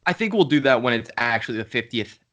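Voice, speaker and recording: A man's voice: medium pitch, animated, quick pace, fairly bright tone. A man in his late twenties hosting a podcast interview in a loose, joking way, swearing freely. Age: 20s. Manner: loose, swearing, self-mocking. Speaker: podcast host.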